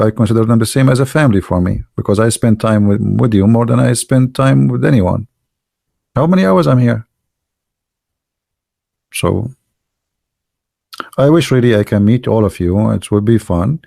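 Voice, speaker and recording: A man who speaks Arabic natively, hosting a livestream in non-native English, telling anecdotes and chatting casually in an animated, gravelly voice. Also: lowish voice, moderate pace, long pauses, dry recording, studio quality. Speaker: livestream host. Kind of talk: casual anecdotes and chat with live viewers.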